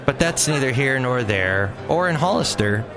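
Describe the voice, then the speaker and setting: A man's voice: medium pitch, animated, normal speed, over music. A man hosting his own podcast in a rambling, jokey monologue with playful asides. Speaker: podcast host. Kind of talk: casual solo podcast monologue.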